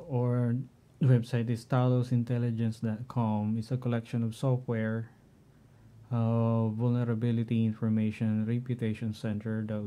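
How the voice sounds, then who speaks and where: A middle-aged man with a Filipino accent, talking through his home network setup in a halting way, often clearing his throat. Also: mid-range voice, warm tone. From Philippines. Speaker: tech vlogger. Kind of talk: casual screen-share walkthrough.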